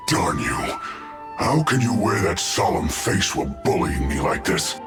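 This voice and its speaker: A man's voice: low-pitched, sing-song, measured pace. A man voicing a hapless, indignant enemy soldier in a video game, protesting in an exasperated, whiny way. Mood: aggrieved. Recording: echoey, music behind the voice.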